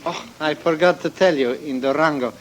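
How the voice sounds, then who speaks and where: An actor playing a menacing Mexican ex-army sergeant turned bandit in a 1940s radio drama, mock-polite and deliberate, with a Spanish accent. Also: male voice, on the high side, slight background noise, natural voice, low-quality recording. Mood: smug, threatening.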